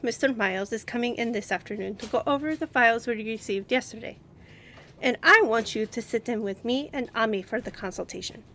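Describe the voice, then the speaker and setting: A woman playing a composed, confident young lawyer and boss in an audio roleplay, with a measured, earnest delivery. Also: on the high side, fairly bright tone, a little hiss or hum.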